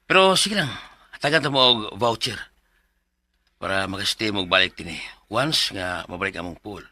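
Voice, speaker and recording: A forceful low-voiced resort boss. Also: male voice, animated, moderate pace, no echo, full character voice. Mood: placating. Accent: Filipino Bisaya. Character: male resort owner, pushy then placating. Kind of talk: Philippine radio drama acting.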